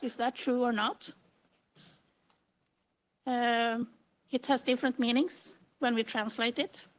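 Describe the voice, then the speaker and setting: A woman's voice: a little high, fairly even intonation, slow pace. A middle-aged woman with a Swedish accent, giving an informal, plain-spoken conference presentation in non-native English. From Sweden. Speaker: conference speaker, computer engineer.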